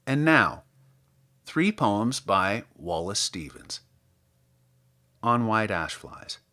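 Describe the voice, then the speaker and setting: A man reading scripted literary material aloud as a podcast host, in a measured, articulate, theatrical manner. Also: lowish voice, sing-song, sharp tone. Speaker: podcast host and poetry reader. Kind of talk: scripted literary introduction and poetry recitation.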